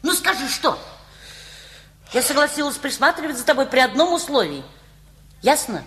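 A woman's voice: high-pitched, sing-song, moderate pace, a bit bright. An actress playing a strict, fussy woman who minds a teenager, firm and admonishing, in a Russian radio play. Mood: stern.

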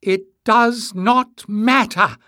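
A man voicing an earnest, nervous young musician in an audiobook, with a high voice. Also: expressive, moderate pace, dry recording, clean studio sound, close to an everyday voice. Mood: nervous, defiant. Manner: stammering, earnest. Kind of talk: acted audiobook dialogue.

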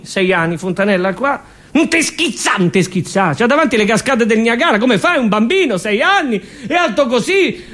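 A man's voice: sits high, a bit bright. A man with a Roman accent performing a theatrical comic monologue, emphatic and repetitive.

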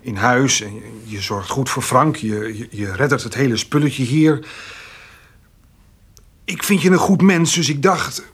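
Shy, husky-voiced country man. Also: medium pitch, unhurried, fairly bright tone. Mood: hesitant, shy. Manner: hesitant, stammering, roundabout. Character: shy, awkward country man clumsily courting. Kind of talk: naturalistic radio drama.

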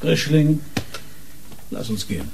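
An actor playing a dogged, impatient police inspector in a radio crime drama, probing and suspicious, with a husky, muted voice. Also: male voice, fairly high pitch, expressive, slow, background noise, exaggerated voice. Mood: musing, suspicious. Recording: reverberant hall-like radio drama scene.

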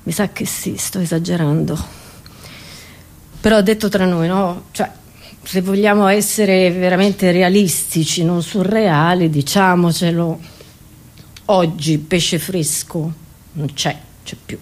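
A woman's voice: on the low side, steady tone, takes it slowly, a little mellow. A woman comedian performing a satirical, conversational monologue in a theatrical way, often interrupting herself with rhetorical questions.

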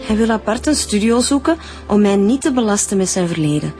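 A woman's voice: mid-range voice, animated, a little fast, mellow, soft background music, natural voice. An actress playing a guarded, defensive woman being questioned in a radio drama. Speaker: victim's daughter.

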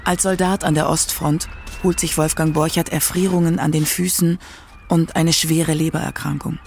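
A narrator reading a scripted radio documentary in a measured literary manner. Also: medium pitch, little pitch movement, creaky, darkish tone, a little hiss or hum.

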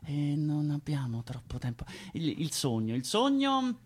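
A man's voice: on the high side, big pitch swings, measured pace, breathy edge, exaggerated voice. A man playing a doting, easily amazed companion to a child in theatrical radio storytelling, with stammered repetitions and coaxing exclamations. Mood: hushed, thoughtful. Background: music, plucked guitar.

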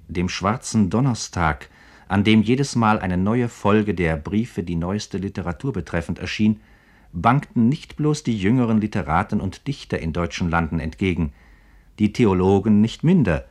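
A male narrator with a low voice delivers a scripted radio biography. His phrasing is formal and measured, each long sentence carefully built.

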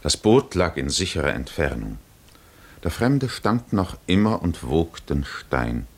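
A man narrating a literary 1950s radio drama in measured, deliberate phrases with pauses. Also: a bass voice, sing-song, gravelly.

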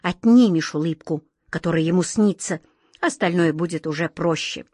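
A woman's voice: on the low side, normal speed, darkish tone, no room sound, natural voice. Casting: spiteful king read by a woman, hissing, imperious, scheming, for a storybook tale, dry recording. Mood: sly. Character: angry, spiteful male king, scheming and commanding.

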